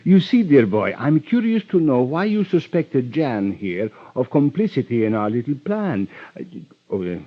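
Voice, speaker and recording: An actor playing a suave, over-polite crime boss in old radio drama, florid and smugly menacing. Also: male voice, mid-range voice, sing-song, normal speed. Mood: amused menace. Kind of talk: 1950s radio drama acting. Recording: dull old radio recording.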